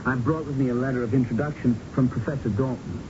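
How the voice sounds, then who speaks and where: Actor as polite young student, muffled recording. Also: male voice, medium pitch, fairly even intonation, natural voice. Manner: courteous, slightly halting. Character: polite, eager young American male art student. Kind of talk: 1970s radio drama acting. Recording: noisy, muffled old radio broadcast recording.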